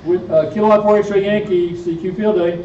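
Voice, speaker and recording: A man's voice: high voice, steady tone, brisk, a crowd in the background. A man leading a practice exercise as a radio operator, speaking in live amateur radio call procedure. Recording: echoey room, distant mic, dull, audience noise.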